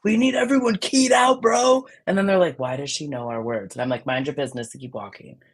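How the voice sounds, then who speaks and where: A raspy-voiced woman as a guest in a casual chat, blunt and fiery, projecting her voice. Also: low-pitched, a little fast.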